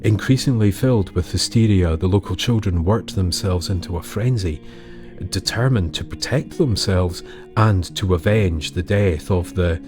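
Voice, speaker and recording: Male narrator, deep and unhurried, measured storytelling with dramatic pauses, music underneath. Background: music bed with guitar.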